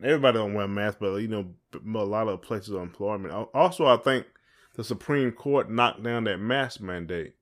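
Low-pitched man chatting, rambling along. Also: normal speed. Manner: rambling, repeats phrases as thinking aloud. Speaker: podcast co-host. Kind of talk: casual conversational chat.

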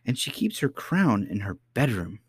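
A man's voice: medium pitch, very animated, normal speed, husky, dry recording, pitched above the performer's own voice. A man voicing an earnest, indignant little princess in a storybook, eager and insistent in a childlike way.

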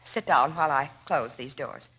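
A woman's voice: deep voice, moderate pace, noisy background, natural voice. A woman playing an anxious, genteel young heiress in an old-time radio drama, speaking formally and hesitantly. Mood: flustered, secretive. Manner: hesitant, formal, stammering over words.

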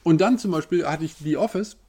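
A man in his thirties ranting in a casual, animated way, firing off rhetorical questions. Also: a little high, brisk, breathy edge. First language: German. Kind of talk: casual opinion monologue.

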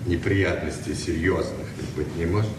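Calm mature gentleman voiced by an actor, lowish voice, dry humour, 1970s radio play, echoey. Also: normal speed, natural voice. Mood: calm, curious. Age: middle-aged. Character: mature male guest, calm, dryly teasing, courteous. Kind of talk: understated Soviet radio play acting. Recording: echoey, distant, noisy old radio recording.

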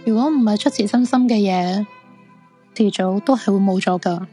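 A woman's voice: mid-range voice, normal speed, mellow, soft background music, close to an everyday voice. A woman with a Hong Kong Cantonese accent playing a fussy female crystal fortune teller in a supernatural radio drama. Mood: earnest.

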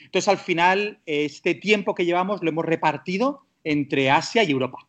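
A man's voice: a little high, normal speed, fairly bright tone. A man from the Barcelona area with an accent from Spain, chatting casually as a podcast guest, often hesitating and rephrasing himself. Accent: Spanish from Spain. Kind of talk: casual interview chat.

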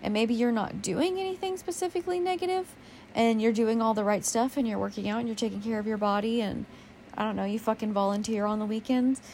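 A young woman hosting a casual solo podcast with a naturally low voice, talking in a candid, rambling way and swearing casually. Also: fast, a little mellow, slight background noise. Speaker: podcast host.

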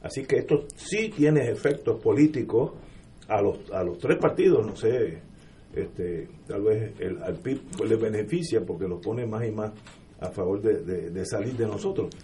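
A middle-aged man with a Puerto Rican accent argues politics on talk radio. His low, mellow voice grows more emphatic as he presses each point. A faint hiss of noise sits behind him. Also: lively intonation, measured pace. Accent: Puerto Rican Spanish. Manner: emphatic, rising to forceful. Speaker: political panelist.

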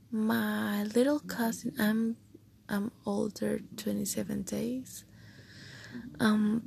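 A young woman, hosting a casual personal podcast in a soft, often half-whispered and hesitant way. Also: medium pitch, gravelly, slight background noise. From Costa Rica. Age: late teens. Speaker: podcast host.

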